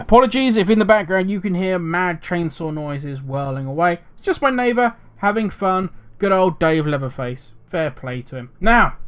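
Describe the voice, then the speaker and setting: A man hosting his gaming channel, chatting casually and reading a news article aloud with self-mocking asides. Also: sits high. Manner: animated, self-mocking, jumps between loud asides and quiet mutters.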